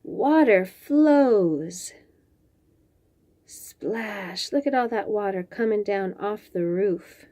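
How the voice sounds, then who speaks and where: Female children's storyteller, very unhurried, animated and expressive, picture-book read-aloud with conversational asides. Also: mid-range voice. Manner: expressive, drawn-out reading with chatty asides.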